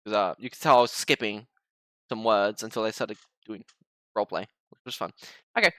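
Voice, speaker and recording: A man's voice: on the low side, a little fast, pushed and bright, dry recording. A young man winding down a live gaming stream, chatting casually and stumbling over his words. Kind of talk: casual end-of-stream chat.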